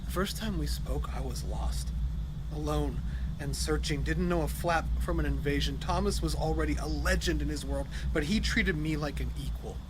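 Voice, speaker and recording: A man's voice: on the high side, normal speed, background noise, lightly acted. An actor playing a grieving, disillusioned man forced onward at gunpoint, with bitter sarcasm, in a naturalistic audio drama. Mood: grieving, subdued.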